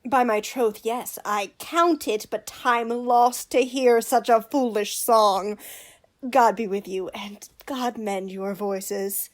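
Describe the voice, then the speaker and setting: A woman's voice: a little high, fairly even intonation, takes it slowly. A woman playing a witty, pompous male court jester in a Shakespearean radio play, with a mocking manner.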